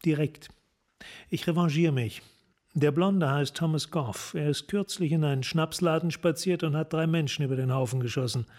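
Male police sergeant, radio crime drama, lighter pitch, blunt, clipped, hard-boiled. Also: darkish tone. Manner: terse, direct, clipped sentences.

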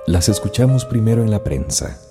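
Casting: male narrator, lowish voice, lively intonation, reflective scripted read, loud music underneath. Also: a little fast. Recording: loud music bed behind the voice.

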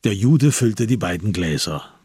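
A man narrating a radio crime drama in a measured, literary, sober way, with a husky voice. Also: on the low side, animated, darkish tone, no room sound, clean studio sound. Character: sober storyteller of a crime tale.

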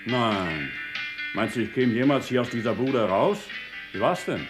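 A sociable barman in a radio crime drama. His mid-pitched voice chats away with clear guitar music behind it. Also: big pitch swings, unhurried. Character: chatty male pub acquaintance stuck at his bar.

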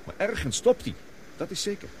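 An actor in a Dutch radio play, playing a reasonable, sceptical expedition colleague with a questioning, easily exasperated manner. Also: male voice, sits high, brisk, slight background noise. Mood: matter-of-fact. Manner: incredulous, questioning, animated.

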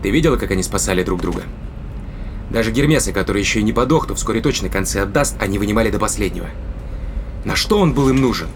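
An actor in an audio drama playing a determined spaceship captain who reasons emphatically and deliberately. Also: male voice, lowish voice, animated, background noise. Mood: tense, insistent.

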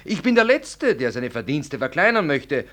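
A man's voice: fairly high pitch, sing-song, moderate pace, a bit bright. An actor in a radio drama, playing an outwardly amiable male doctor who talks politely, with ingratiating insinuation. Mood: defensive, insinuating.

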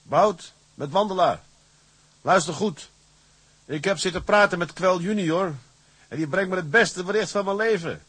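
A man playing a shrewd, defiant tugboat captain in a radio drama, with a husky voice and a wry, blunt, commanding manner. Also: high voice, moderate pace, pauses often, a bit bright, no echo. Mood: excited scheming. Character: shrewd, defiant male tugboat captain, plain-spoken schemer. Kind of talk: Dutch radio drama acting.

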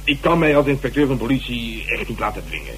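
An actor in a radio drama playing a grudging, good-humoured police inspector with hesitant, protesting delivery and Netherlands Dutch speech. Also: male voice, medium pitch, big pitch swings, fast, fairly bright tone. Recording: noisy, humming radio broadcast recording.

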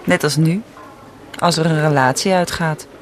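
An actress playing a guarded woman fresh from a breakup, hesitant and at times sharp, in a naturalistic radio drama. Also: low-pitched, moderate pace, lightly acted.

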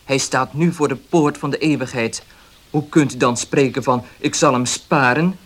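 An actor in a Dutch radio play as a wary baker, speaking directly and guardedly. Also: male voice, medium pitch, normal speed, close to an everyday voice. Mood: guarded, firm. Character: wary, blunt baker defending his honest father.

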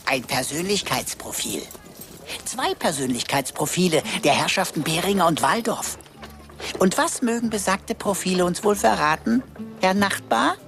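A woman's voice: lowish voice, expressive, normal speed, gravelly, fairly bright tone, soft background music. A woman playing a servile, cheeky computer assistant in a radio drama, with flowery, mock-humble theatrical speech. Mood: smug, teasing.

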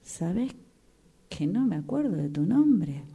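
A woman's voice: fairly low pitch, sing-song, slow pace, vocal fry, warm tone, breathy edge, heavily acted. A woman with a Rioplatense Argentine accent acting an awkward, polite, hesitant acquaintance in a radio play. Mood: polite, unsure. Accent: Rioplatense Argentine Spanish. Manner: hesitant, repeats short words.

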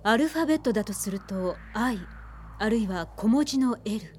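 A woman's voice: fairly high pitch, animated, moderate pace, a little mellow, slight background noise, lightly acted. A woman playing a composed, analytical psychiatrist with a poised professional manner in a Japanese radio drama. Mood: composed, pensive. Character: composed, analytical female psychiatrist on a police case.

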